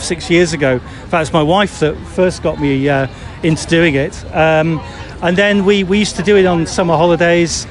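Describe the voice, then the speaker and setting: A friendly British man with a fairly high voice answers questions outdoors in a park. He hesitates often and repeats words while he finds them, and a crowd chatters all around him. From United Kingdom. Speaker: run director. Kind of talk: casual interview. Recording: outdoor recording with lots of voices nearby.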